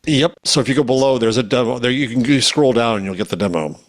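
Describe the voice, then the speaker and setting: Halting male panelist. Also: medium pitch, no room sound. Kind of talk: casual panel chat.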